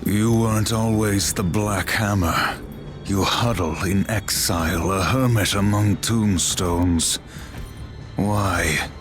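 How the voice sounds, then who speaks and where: An actor playing a brooding, solemn horseman warrior in a video game, speaking tersely in a heavy gravelly voice. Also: on the low side, slow, over music. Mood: stern. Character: stern, brooding male horseman warrior of the apocalypse. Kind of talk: video game cutscene acting.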